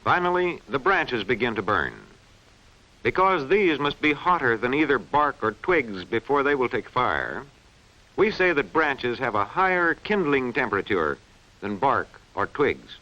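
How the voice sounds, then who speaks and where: A man narrates an instructional film with a bright, forceful voice. His intonation rises and falls in an animated way as he explains each point precisely and didactically. The sound is muffled and band-limited, like a vintage film soundtrack. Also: medium pitch, measured pace. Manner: clearly enunciated, didactic delivery. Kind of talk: scripted educational film narration.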